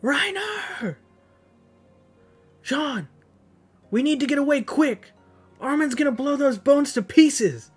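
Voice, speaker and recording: A man reading aloud as an urgent young soldier from a comic, his voice pushed up high and strained. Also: expressive, takes it slowly, pauses often, faint music behind, full character voice.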